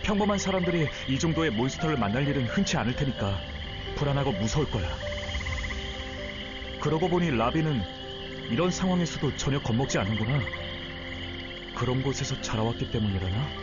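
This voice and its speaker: Guy playing a resolute young teacher in a game, polite but firm, animated, loud music behind him. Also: mid-range voice, a little fast, pauses often, lightly acted. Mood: calm, thoughtful. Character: earnest resolute young male teacher and fighter. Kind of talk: dramatic Korean game voice acting. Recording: muffled game audio under loud music.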